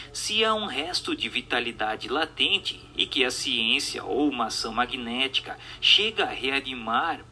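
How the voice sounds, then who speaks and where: Male narrator, formal audiobook read, sharp and very forceful tone, didactic delivery, music underneath. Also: medium pitch. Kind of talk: formal scripted narration of a religious-philosophical book.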